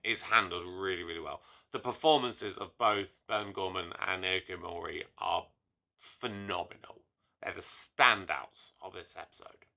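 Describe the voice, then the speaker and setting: A young man chatting to camera in a casual solo review, slightly hoarse from a cold, animated and full of asides. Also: low voice, a little slow. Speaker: YouTube reviewer.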